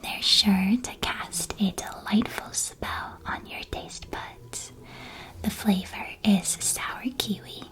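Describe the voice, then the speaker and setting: A cheerful witch who runs a magical candy shop, played by a woman, speaks entirely in a soft whisper. She takes her time in an unhurried ASMR roleplay, welcoming and gently enthusiastic as she presents her wares. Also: mid-range voice. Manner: soft-spoken, hushed, gently enthusiastic sales patter.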